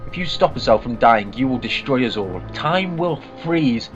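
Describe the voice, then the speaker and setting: An actor playing an eccentric male alien time traveller who speaks in an earnest, urgent, excitable way in a fan audio drama. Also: mid-range voice, normal speed, faint music behind, lightly acted. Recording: slightly dull lo-fi sound.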